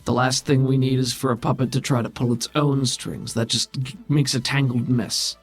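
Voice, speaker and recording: A man playing a cool, scheming, condescending political mastermind who speaks formally and with measured authority in a tabletop game. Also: medium pitch, level tone, soft background music, natural voice. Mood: calculating.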